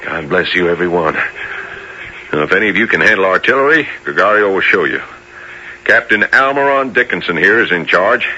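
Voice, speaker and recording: An actor playing a resolute Texan fort commander in a radio drama, firm and commanding in manner. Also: male voice, sits low, expressive, a little fast, bright, forceful tone, full character voice. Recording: muffled, hissy late-1970s radio broadcast.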